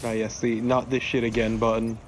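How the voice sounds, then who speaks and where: A man's voice: mid-range voice, level tone, brisk, slight background noise. A young man chatting casually and wryly as co-host of a gameplay video, swearing freely. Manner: wry, profane. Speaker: let's play co-host. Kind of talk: casual joking gameplay chat.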